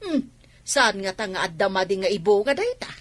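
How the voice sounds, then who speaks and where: A woman's voice: mid-range voice, big pitch swings, moderate pace, no echo. A woman playing a sceptical, scolding village wife with an Ilocano accent in a radio drama, reproachful and questioning.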